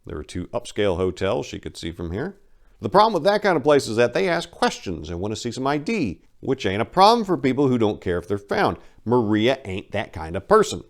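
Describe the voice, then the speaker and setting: A man's voice: medium pitch, wildly swooping pitch, brisk. A man giving a dramatic scripted reading of a crime short story as its narrator.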